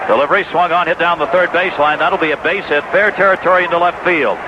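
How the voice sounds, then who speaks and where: A man's voice: a little high, fairly even intonation, fast. A man doing live baseball play-by-play in clipped descriptive phrases, in the style of 1980s radio sports commentary. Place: stadium broadcast booth. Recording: dull, noisy old AM radio broadcast.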